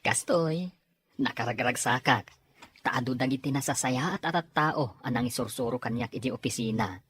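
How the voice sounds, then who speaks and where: A man playing a devoted, patient working husband in a radio drama, with a husky voice. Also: medium pitch, normal speed, fairly bright tone, no echo. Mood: tender.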